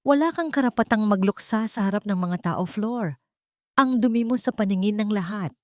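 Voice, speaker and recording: A woman's voice: mid-range voice, animated, moderate pace, no room sound, clean studio sound, voice pushed up, close to an everyday voice. A woman acts out a menacing older matriarch of a wealthy house. She is cold, stern and domineering, in a dryly recorded audiobook drama. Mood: scornful. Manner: stern, threatening.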